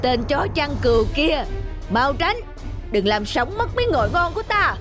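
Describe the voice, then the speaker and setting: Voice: sits high, big pitch swings, moderate pace, a bit bright, soft background music. An actor voicing a menacing, greedy wolf villain in a children's cartoon, taunting and theatrical. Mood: gloating threat.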